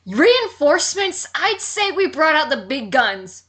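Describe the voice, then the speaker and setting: A woman's voice: high-pitched, big pitch swings, unhurried, fairly bright tone. A woman co-commentating in casual banter, with a sassy, teasing way of talking. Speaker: guest co-commentator.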